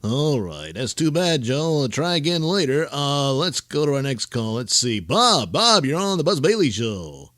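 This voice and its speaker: A man's voice: mid-range voice, big pitch swings, normal speed, hardly any pauses, a bit bright, no room sound, clean studio sound. A man playing a chipper, unflappable call-in show host in a talk radio parody. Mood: patient, breezy.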